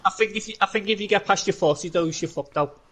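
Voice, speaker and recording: A man with a bright, tense, slightly high voice joins a casual podcast chat. He stammers and repeats words as he tells his stories. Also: fast talker, husky. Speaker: podcast co-host.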